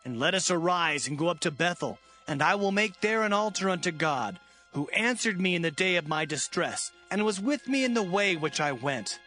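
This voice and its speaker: A man's voice: sits high, expressive, moderate pace, sharp tone, soft background music. An actor playing a troubled patriarch and father in a dramatised audio Bible, emphatic and declamatory. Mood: firm instruction. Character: Jacob, troubled patriarch father of grown sons.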